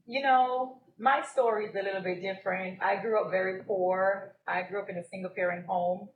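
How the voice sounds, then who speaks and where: A woman's voice: mid-range voice, fairly even intonation, normal speed, roomy sound, phone-quality sound. A young woman from Jamaica, a business co-owner and guest on a live podcast, telling her story and laughing often. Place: stage at a live event.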